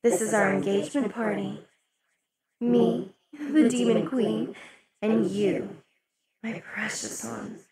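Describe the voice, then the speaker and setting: Woman as a slow-talking demon queen, loud party crowd. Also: fairly high pitch, steady tone, rough recording. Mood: silky, possessive. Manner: slow, velvety, dips into whispers. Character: possessive, imperious female demon queen. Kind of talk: ASMR roleplay. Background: crowd chatter of a party.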